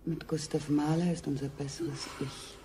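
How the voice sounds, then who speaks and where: A woman's voice: deep voice, fairly even intonation, normal speed, dark tone, lightly acted. A woman playing a lofty, contemptuous female figure who declaims in a science fiction radio drama. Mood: lofty, scornful. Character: scornful woman idolising beauty, despising her captors. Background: music.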